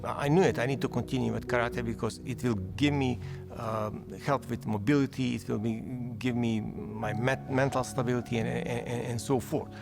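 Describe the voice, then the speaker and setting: A man in his fifties, originally from Bosnia, speaking English with a Bosnian accent in an interview, halting and repeating words as he searches for phrasing. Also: mid-range voice, steady tone, vocal fry. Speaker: award recipient. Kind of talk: recorded interview testimonial. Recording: music bed underneath. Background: music.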